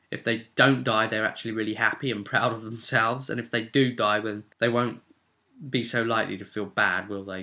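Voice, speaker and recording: A man's voice: lowish voice, vocal fry, sharp tone. A British man talking casually and off the cuff as a game master hosting his own vlog, chatty and thinking aloud. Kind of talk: casual unscripted rambling monologue.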